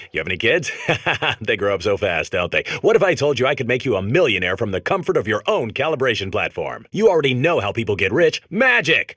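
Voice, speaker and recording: Animated, smarmy salesman robot. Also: mid-range voice, no echo, studio quality. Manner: smarmy, over-familiar, persuasive. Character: slick, pushy male scam salesman robot. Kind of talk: comedic sales pitch acting in a video game.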